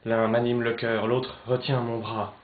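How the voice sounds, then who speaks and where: A man's voice: medium pitch, level tone, a little slow, lightly acted. Man performing anguished young nobleman, roomy sound. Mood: torn. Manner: declamatory, swinging from hushed to loud. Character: anguished young Spanish nobleman torn between love and honour. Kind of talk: theatrical recitation of classical French verse monologue. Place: poetry club, live recitation. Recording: roomy, slightly dull live recording, noisy.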